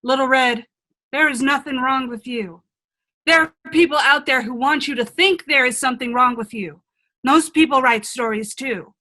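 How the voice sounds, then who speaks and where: A woman plays a wise older fairy-tale self speaking out of a magic mirror. Her voice is fairly high and very bright, and she counsels frankly, with earnest reassurance, in radio play style. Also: moderate pace. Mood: encouraging, firm. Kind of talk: comedic radio play acting.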